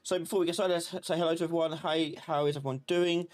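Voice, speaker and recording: A man's voice: on the high side, fairly even intonation, quick pace. Man teaching a casual live class. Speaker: live stream tutor. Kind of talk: casual live software tutorial.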